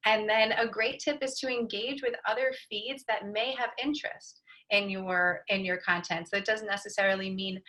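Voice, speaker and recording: A woman's voice: medium pitch, bright, forceful tone, rough recording. A woman giving an informative panel presentation as a marketing expert.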